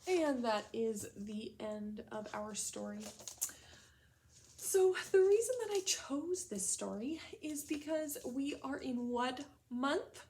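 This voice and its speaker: Higher-voiced woman teaching young children. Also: animated, slow pace, darkish tone, slight background noise. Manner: instructional, addresses children with simple questions and prompts. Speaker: elementary school teacher. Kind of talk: read-aloud lesson for young children.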